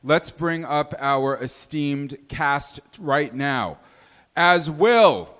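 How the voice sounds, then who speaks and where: A man's voice: on the high side, lively intonation, very slow, a bit bright. Man announcing a live stage show. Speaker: host and performer introducing the cast. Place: live venue stage with audience.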